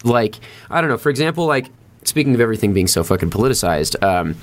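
A man chats casually with very lively intonation and a middling pitch. He rambles through his stories and points in an animated, heavily profane way, like a podcast guest among friends. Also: quick pace. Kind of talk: casual chat, storytelling.

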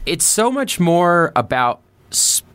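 A man's voice: high voice, big pitch swings, takes it slowly, fairly bright tone. A man chatting casually on a podcast in a blunt, slangy, heavily profane way.

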